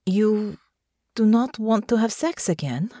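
Audiobook role: gentle young farm woman, voiced by a female narrator, earnest, careful with each word, close dry sound. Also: medium pitch, big pitch swings, slightly rough, no echo, exaggerated voice. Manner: soft, careful, deliberate, formal phrasing. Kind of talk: audiobook character dialogue.

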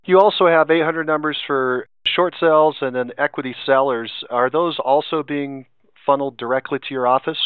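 A man's voice: a little high, normal speed, a bit bright, clear, resonant tone, dry recording, low-quality recording. A man hosting a podcast interview, asking probing follow-up questions.

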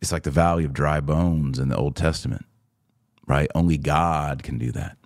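An American man in his forties with a low voice and a dry, close sound. He talks as a podcast host on a livestream, conversational and direct with his audience. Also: moderate pace, a little mellow, dry recording. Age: 40s. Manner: conversational, addresses listeners directly. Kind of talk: casual livestream commentary, answering viewer comments.

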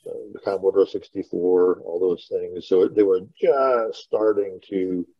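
A man's voice: low-pitched, fairly even intonation, measured pace, dry recording. A middle-aged man chatting casually as a podcast host, telling rambling, informal stories over an online call. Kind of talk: casual reminiscing podcast talk. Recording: dull, lo-fi online call audio.

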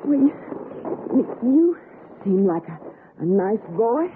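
An actress playing a young, fancy city wife, coaxing and fearful, with theatrical pleading in a 1960s radio suspense drama. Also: female voice, fairly high pitch, sing-song, slow pace. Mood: weary, coaxing. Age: young adult. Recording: noisy, narrow-band vintage radio recording.

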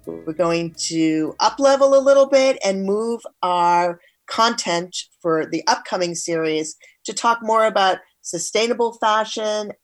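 A woman hosting an interview show, speaking in a presenter's style as she introduces topics and questions her guest. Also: medium pitch. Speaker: show host.

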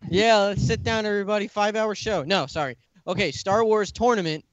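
A man co-hosting a casual podcast, joking around with a shrill voice. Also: fairly quick, muffled recording, a little hiss or hum. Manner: joking, does mock deep voices. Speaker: podcast co-host.

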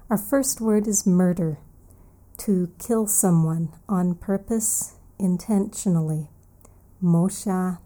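A woman hosting an English lesson, recapping a story and then explaining vocabulary words slowly and carefully, repeating each key word. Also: on the low side, warm tone. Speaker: English teacher and podcast host.

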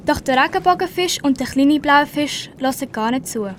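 A woman's voice: high-pitched, animated, normal speed, slight background noise. A teenage girl with a Swiss German accent reading scripted narration for an amateur school radio play.

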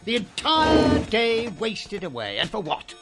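A man's voice: sits high, wildly swooping pitch, a little slow, loud music behind the voice, heavily acted. An actor playing a cold, curt, scornful old businessman and miser in a radio drama. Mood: scornful grumbling. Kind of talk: theatrical radio drama acting.

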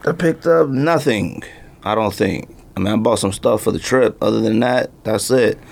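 A man's voice: lowish voice, moderate pace, creaky. A man co-hosting a podcast, bantering casually about sneakers in slangy, storytelling talk. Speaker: podcast co-host.

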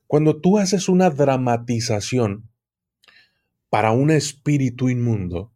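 A man, a guest expert on the occult, explaining things in a deliberate, unhurried way with frequent restarts. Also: medium pitch, dark tone. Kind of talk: explanatory conversational talk.

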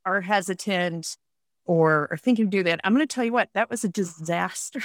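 Frank American woman, lowish voice, close dry sound. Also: moderate pace, no echo. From United States, upstate New York. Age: 50s. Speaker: podcast guest, writer and podcaster. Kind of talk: candid conversational interview answers.

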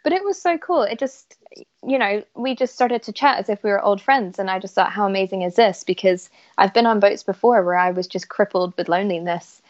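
A woman's voice: mid-range voice, brisk, a little mellow. Woman, thirties, mixed canadian-british accent, chatty, enthusiastic, dull lo-fi sound. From Isle of Man, raised in Canada. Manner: chatty, enthusiastic, many fillers. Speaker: yacht chief stewardess, guest. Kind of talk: casual podcast interview. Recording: slightly dull lo-fi audio.

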